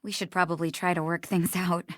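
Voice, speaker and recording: A woman's voice: lowish voice, level tone, fairly quick, dry recording, studio quality, close to an everyday voice. A woman voicing a wistful, conciliatory video game character. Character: wistful, conciliatory woman reminiscing about old friendship.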